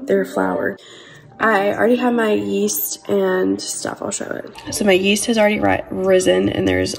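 A young woman with a raspy voice, casually talking through a bread recipe in her home kitchen, chatty and loose with fillers. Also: medium pitch, darkish tone. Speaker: lifestyle vlogger. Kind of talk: casual baking walkthrough.

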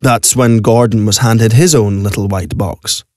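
A man's voice: fairly low pitch, steady tone, measured pace, darkish tone, dry recording, studio quality. A man narrating a scripted audio drama as a storyteller. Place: recording studio. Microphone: close studio microphone.

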